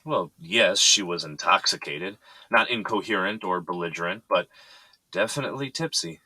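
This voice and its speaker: A man's voice: low-pitched, moderate pace, bright, forceful tone, breathy edge, no room sound, exaggerated voice, low-quality recording. An actor playing a composed, evasive but polite businessman in an audio drama. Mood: guarded, defensive.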